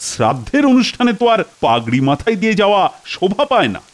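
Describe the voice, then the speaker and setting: A man's voice: high-pitched, big pitch swings, fast talker. A man playing a vain, anxious middle-aged king in a comedy cartoon, dramatic, fussy and commanding in manner. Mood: hurt, fretful. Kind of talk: Bengali comedy cartoon voice acting.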